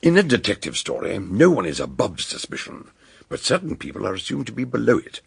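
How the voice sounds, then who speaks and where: An actor playing an eccentric, wordy older British detective doctor in a radio drama, genial and courteous, with a gravelly, husky voice. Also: male voice, fairly low pitch, wildly swooping pitch, no room sound, full character voice. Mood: grave, deliberate. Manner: wordy, deliberate, old-fashioned courtesy.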